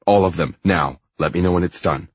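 A man's voice: low-pitched, moderate pace, husky, no echo, lo-fi sound. A man voicing a curt, hot-tempered male tycoon in an audiobook, growling out commands. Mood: commanding. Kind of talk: audiobook character dialogue.

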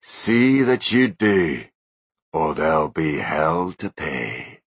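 A man voicing an older male bodyguard who poses as a ghost, speaking slowly, quietly and ominously. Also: a bass voice, sing-song, breathy edge, no echo, heavily acted. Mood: stern.

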